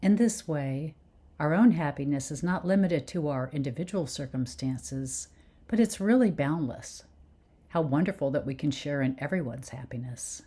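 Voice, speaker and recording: A woman leading a guided meditation with soothing, gentle instruction in unhurried phrases. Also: low-pitched, slightly rough.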